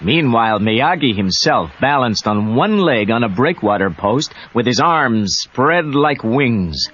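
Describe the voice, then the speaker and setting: A man's voice: mid-range voice, lively intonation, measured pace, a bit bright. A man narrating a scripted children's read-along story as the storyteller. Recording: dull cassette tape under music. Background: music.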